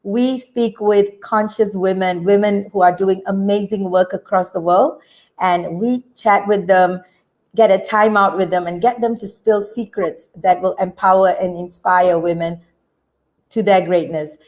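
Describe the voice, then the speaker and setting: A woman hosting an online interview, excited and bubbly in her delivery. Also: mid-range voice, unhurried. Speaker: host.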